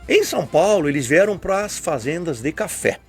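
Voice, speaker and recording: Husky older man with a lively, high voice. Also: moderate pace, faint music behind. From southern Brazil. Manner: lecturing, with frequent tag questions. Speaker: guest historian. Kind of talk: explanatory radio conversation.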